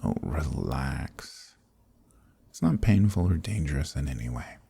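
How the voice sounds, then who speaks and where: A man voicing an ancient archdemon who is smug, amused and condescending, in a breathy, murmured dark fantasy ASMR roleplay with teasing, leisurely politeness. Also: a bass voice, sing-song, a little slow, no echo, full character voice. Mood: smug, calm.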